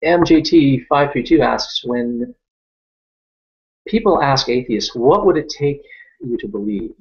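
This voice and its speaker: A middle-aged French Canadian man, a native French speaker, hosting a live Q&A and answering viewer questions in a thoughtful, unhurried way. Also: medium pitch, highs cut off. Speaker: Q&A host.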